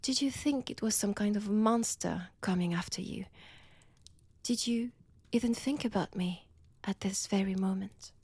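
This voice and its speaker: A woman with a French accent playing a seductive, predatory vampire in an intimate audio roleplay, breathy and teasing, often dropping toward a whisper. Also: mid-range voice, a little mellow, no room sound. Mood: gloating, teasing. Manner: slow, teasing, menacing, deliberate pauses. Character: seductive, predatory female vampire toying with her captive.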